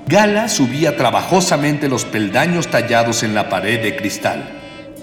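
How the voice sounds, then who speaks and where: A man narrating a scripted radio drama in a florid, mock-epic storytelling manner. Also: mid-range voice. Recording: echoey long reverb with loud music.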